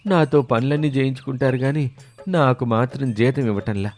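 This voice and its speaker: A man voicing a humble servant in an animated moral story, grumbling in puzzlement yet respectful to his master. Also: mid-range voice, fairly quick, faint music behind, close to an everyday voice. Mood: puzzled grumbling.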